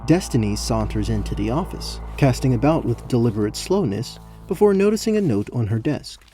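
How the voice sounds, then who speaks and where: A man serves as narrator, reading scripted fantasy prose. His voice is relaxed and mellow, sitting at a medium pitch. Soft music plays faintly behind the storytelling. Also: a little fast.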